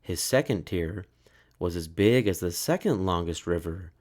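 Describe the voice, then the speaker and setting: A man reading a children's story aloud in a measured, expressive way. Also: deep voice. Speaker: storybook narrator.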